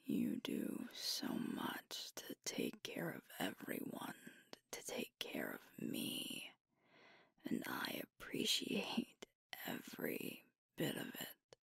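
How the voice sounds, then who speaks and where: Breathy, whispering werewolf girlfriend played by a woman. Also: a bass voice, wildly swooping pitch, dry recording, studio quality, heavily acted. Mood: tender, concerned. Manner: slow, tender, hushed delivery with pauses. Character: sweet, devoted young female alpha werewolf girlfriend. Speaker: ASMR roleplay performer. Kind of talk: intimate ASMR girlfriend roleplay. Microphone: close microphone, dry.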